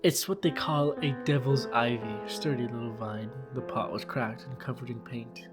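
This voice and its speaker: A narrator tells a scripted story for a fiction podcast. The delivery is descriptive and built on short phrases. Loud music runs behind the voice. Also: medium pitch, fairly even intonation, normal speed.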